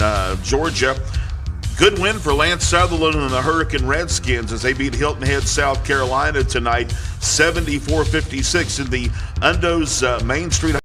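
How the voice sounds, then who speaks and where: A man reads off basketball scores in a steady, businesslike rhythm. His voice sits in a middle pitch. Clear music runs behind him throughout. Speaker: radio sportscaster. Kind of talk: reading scores on radio.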